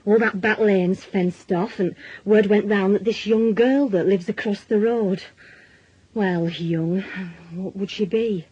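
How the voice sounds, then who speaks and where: A woman's voice: medium pitch, fairly even intonation, takes it slowly, a little mellow, breathy edge, no echo, muffled recording. An actress playing a worried, plain-speaking working-class Northern English mother, middle-aged, in a naturalistic radio drama, confiding and colloquial. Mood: troubled, confiding. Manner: colloquial, world-weary, confiding.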